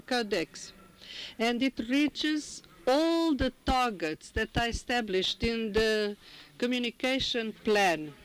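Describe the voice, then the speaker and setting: A man with a very high voice works as a simultaneous interpreter at a formal committee meeting. He speaks slowly, stumbling and correcting himself as he renders each phrase. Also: fairly bright tone, a little hiss or hum, poor audio. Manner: halting, with frequent restarts and fillers.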